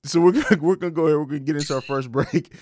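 A young male podcast host with a fairly high voice, chatting casually and swearing freely as he tells animated stories. His voice sounds close and dry, with no room around it. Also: racing, dry recording. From grew up on US military bases in Italy. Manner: animated storyteller, laughs often.

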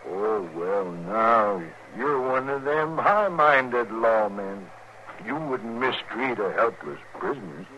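An American actor playing a cocky, needling captured outlaw with folksy, talkative speech in a 1950s radio western. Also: male voice, fairly low pitch, animated, slow, pushed and bright, heavily acted. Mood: mocking. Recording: dull, noisy old radio recording.

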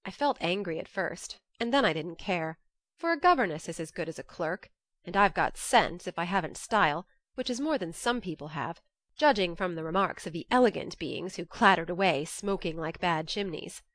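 A woman audiobook narrator giving an expressive first-person reading of literary fiction. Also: lowish voice, moderate pace, no room sound.

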